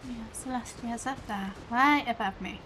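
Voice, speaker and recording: A woman's voice: on the high side, moderate pace, a bit bright, slight background noise. A woman game streamer giving casual, chatty live commentary, rambling along with her train of thought.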